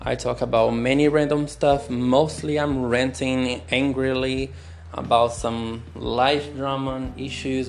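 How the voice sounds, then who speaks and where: A young Brazilian man with a Brazilian accent, a non-native English speaker, telling a personal story on his podcast in a candid, searching way, recorded on a phone. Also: mid-range voice. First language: Portuguese. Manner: slow, searching, candid, with pauses and restarts. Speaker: podcast host.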